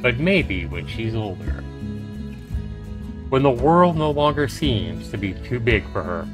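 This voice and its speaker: A man's voice: medium pitch, very animated, unhurried. A man livestreaming a game, reading its narration aloud dramatically with casual asides. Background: game music.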